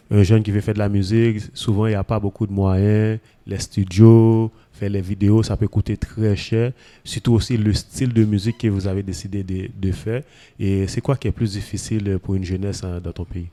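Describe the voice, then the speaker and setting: A man hosting a casual interview, asking slow, easygoing questions. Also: lowish voice, level tone, dark tone. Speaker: interviewer host.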